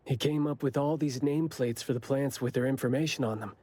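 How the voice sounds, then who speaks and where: A man's voice: mid-range voice, a little fast, warm tone, no echo, lightly acted. An actor playing a friendly, eager male community gardener in a video game. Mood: friendly, helpful. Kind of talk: video game dialogue acting.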